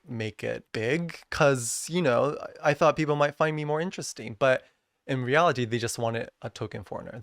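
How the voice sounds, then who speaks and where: A young man talks in a loose, reflective way, full of fillers and restarts, and slips between English and Japanese. His mid-height voice has a gravelly fry at the ends of phrases. The close mic sounds dry, with almost no room in it. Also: medium pitch, dry recording. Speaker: podcast guest. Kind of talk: casual chat.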